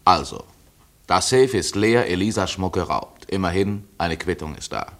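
A man's voice: low-pitched, expressive, normal speed, a bit bright. An actor in a German radio play, playing a sober, methodical male detective who speaks in a matter-of-fact, probing way. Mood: sober, businesslike.

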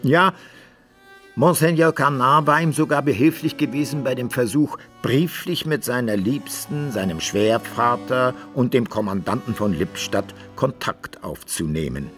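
A man's voice: mid-range voice, measured pace, a bit bright, soft background music, lightly acted. An actor playing a fussy storyteller in a radio drama, who muses aloud and questions himself as he narrates. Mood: recounting. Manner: musing aloud, self-questioning. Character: musing, fussy storyteller devoted to his hero.

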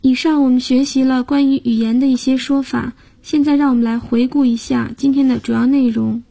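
A woman's voice: high-pitched, steady tone, moderate pace, gravelly, dark tone. A woman hosting a radio language lesson, patiently explaining grammar and guiding listeners step by step. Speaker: language teacher and host.

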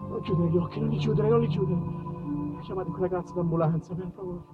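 A man's voice: high voice, steady tone, fast, phone-quality sound, over loud music, pitched above the performer's own voice, lightly acted. A man acting a desperate young man pleading with a dying friend, urgent and frantically repeating his words. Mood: tearful desperate coaxing.

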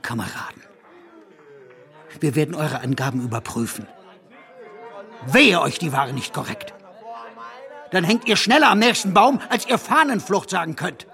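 A threatening military policeman in a radio drama. The voice sits fairly high and swings widely in pitch. Delivery is clipped, officious and menacing. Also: pushed and bright, exaggerated voice. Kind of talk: German radio play acting.

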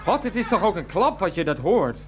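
A man's voice: sits high, sing-song, fairly quick. An actor playing an exasperated adult son in a radio play, pleading and placating on the phone with his mother. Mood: placating, irritated. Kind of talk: radio play acting, one-sided phone conversation.

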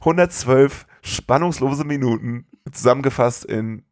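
A man's voice: mid-range voice, unhurried, darkish tone, dry recording, clean studio sound. Casual male podcast host recapping a film.

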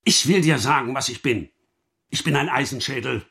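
A man's voice: mid-range voice, normal speed, vocal fry, sharp tone. A man playing a cruel, self-important schoolmaster in a German radio drama, with emphatic, taunting delivery. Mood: boastful, threatening. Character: cruel, menacing middle-aged male schoolmaster, bullying tyrant.

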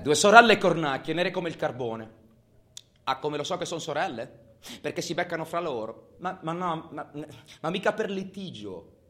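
Male convict, restless, foul-mouthed, halting colloquial monologue for radio theatre; slightly high voice, roomy sound. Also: a bit bright. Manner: colloquial, halting, repeats himself, talks as if to a listener. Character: imprisoned adult man, restless, wistful, obsessive, foul-mouthed.

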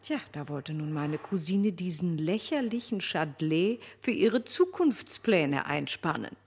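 An actress playing an aristocratic society lady in a German radio drama, flattering, amused and calculating, with theatrical, coquettish emphasis. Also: on the low side, unhurried, slightly rough, full character voice. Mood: haughty, coquettish.

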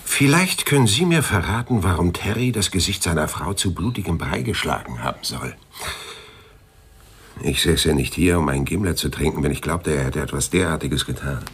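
A hardboiled radio-drama detective, really low husky voice, dry and laconic. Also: male voice, animated, moderate pace, a bit bright, heavily acted. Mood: insistent. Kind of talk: German radio crime drama, dialogue and first-person narration.

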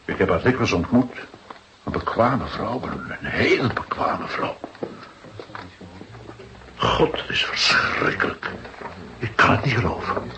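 A man playing a self-important, fussy but humane coroner in a radio drama, with a pompous and halting delivery. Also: on the low side, very animated. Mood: shocked, grieving. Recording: muffled radio recording with clear noise.

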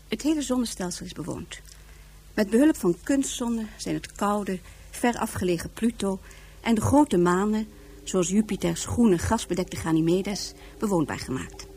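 A woman narrating a science fiction radio drama in a measured, expository style from a script. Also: medium pitch, dark tone.

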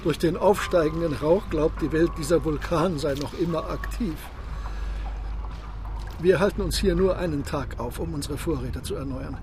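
A man acts out a cool submarine commander in a radio play. His voice sits a little high for a man. He speaks with measured, faintly mocking authority, with loud water and engine ambience behind him. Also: background noise, natural voice. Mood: self-assured. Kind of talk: radio drama acting.

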